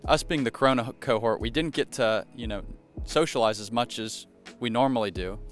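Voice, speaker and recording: A young man, a nursing student, calmly explaining the parts of his video project about his classmates. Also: mid-range voice, brisk, faint music behind. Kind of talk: narrated video project with interviews.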